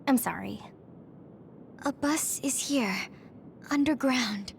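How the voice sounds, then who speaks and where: High-voiced, hesitant young female soldier. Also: slow pace, gravelly, slight background noise, exaggerated voice. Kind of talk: anime-style game voice acting. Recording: music under the dialogue.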